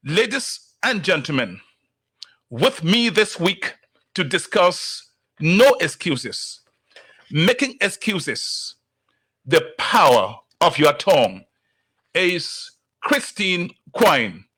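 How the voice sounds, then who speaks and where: A man hosting a talk show, opening with a slow, emphatic motivational monologue before interviewing his guest. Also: a little high, very animated, a bit bright, breathy edge. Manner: slow, deliberate, emphatic delivery.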